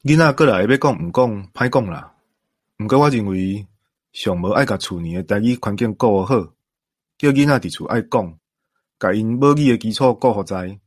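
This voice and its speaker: Taiwanese guy chatting opinions, low voice, earnest, dry studio-ish sound. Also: normal speed, no room sound. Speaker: commentator. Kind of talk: conversational discussion.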